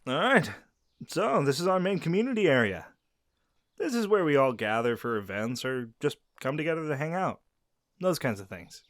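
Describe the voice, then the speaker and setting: A man's voice: fairly high pitch, big pitch swings, normal speed, fairly bright tone, dry recording. A man playing an easygoing young werewolf. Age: young adult. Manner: easygoing, laughs often, answers unheard questions. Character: friendly young male werewolf guide. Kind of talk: audio roleplay to a silent listener. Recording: dry close recording.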